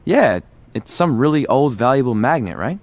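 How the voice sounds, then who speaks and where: Easygoing young man, goofy sidekick, animated pitch, medium register, casual joking, video game dialogue. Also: normal speed. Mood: breezy, joking. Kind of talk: scripted video game cutscene acting.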